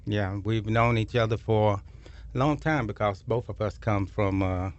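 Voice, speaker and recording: A man's voice: on the low side, unhurried, highs cut off. A man hosting a community radio talk show, chatting casually with frequent fillers and repeating details. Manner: relaxed, repeats phone numbers, frequent fillers.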